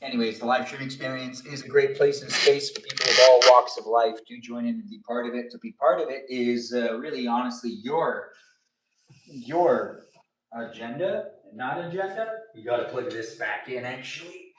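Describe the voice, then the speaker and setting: A man's voice: medium pitch, normal speed, fairly bright tone. A man in his thirties hosting a casual livestream from his home kitchen, rambling playfully with sing-song asides while he moves gear and takes apart a desk. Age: 30s. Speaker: livestream host. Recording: roomy, distant camera audio.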